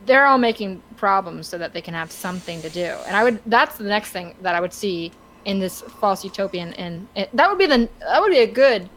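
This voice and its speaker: A woman's voice: medium pitch, very animated, fairly quick, fairly bright tone, slight background noise, low-quality recording. A woman talking casually as a podcast co-host. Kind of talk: casual chat.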